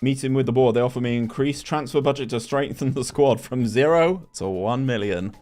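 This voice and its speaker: Casting note: young man, chatty streamer, mid-pitched voice, light music underneath. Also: brisk, darkish tone. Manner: chatty, reads chat aloud, many restarts. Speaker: gaming streamer. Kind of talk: casual live stream chat.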